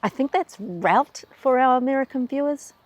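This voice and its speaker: A woman with a New Zealand accent hosting a travel explainer in a brisk, wry, plainspoken way. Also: high-pitched, expressive. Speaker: travel video host. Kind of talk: scripted explainer.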